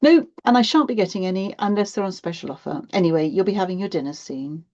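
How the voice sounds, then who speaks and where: A woman's voice: medium pitch, quick pace, a little mellow, lo-fi sound, close to an everyday voice. A woman voicing a weary, hard-up single mum in a children's audiobook, worried but firm, with a blunt, matter-of-fact manner. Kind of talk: acted children's audiobook dialogue.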